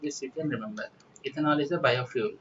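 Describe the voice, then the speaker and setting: A man's voice: mid-range voice, fast talker, a bit bright. A man from India with an Indian accent giving an informal, explanatory current affairs lecture as a teacher, repeating points instructively. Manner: repetitive, instructive, with "you know" tags. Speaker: exam-prep teacher. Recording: distant mic, muffled lo-fi, faint noise.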